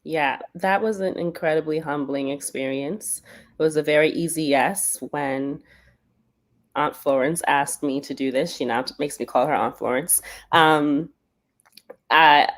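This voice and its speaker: A Bermudian woman with a Bermudian accent, a Broadway performer talking casually as an interview guest, animated and often searching for words with fillers. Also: low voice. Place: lives in New York.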